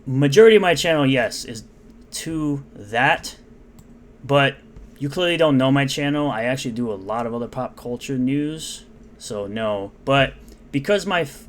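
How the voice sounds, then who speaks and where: A man's voice: mid-range voice, a little hiss or hum. A man reading out and mocking comments in an unscripted, sarcastic rant. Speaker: commentary YouTuber.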